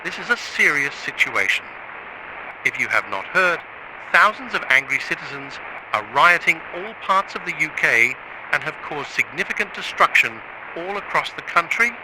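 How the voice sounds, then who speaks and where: A man's voice: a little high, sing-song, normal speed. A man reading a scripted urgent public announcement as an emergency broadcast announcer, in a noisy, hissy recording.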